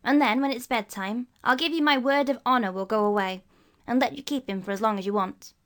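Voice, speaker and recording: A woman reading a storybook, voicing a clever, calm young boy with a measured, persuasive manner. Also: fairly high pitch, natural voice.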